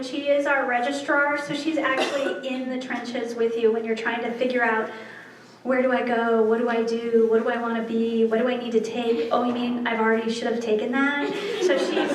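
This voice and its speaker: A middle-aged woman hosts informally in a lecture hall. Her voice is quite high. It sounds distant and strongly echoey, with clear noise behind it. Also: steady tone, normal speed. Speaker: host, law school dean introducing speakers. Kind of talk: informal event hosting. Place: large indoor lecture hall, speaking into handheld microphone.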